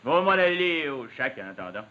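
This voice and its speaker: A man's voice: mid-range voice, big pitch swings, brisk, lightly acted. An actor playing a brash, openhanded logging man with a Quebec French accent and folksy, boastful talk in a period television drama. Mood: easygoing. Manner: boastful, folksy rural speech. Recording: muffled, hissy old 1950s television soundtrack.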